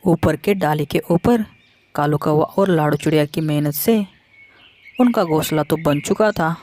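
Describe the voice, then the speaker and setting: Slow-paced woman narrating a children's story. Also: low-pitched, darkish tone, a little hiss or hum.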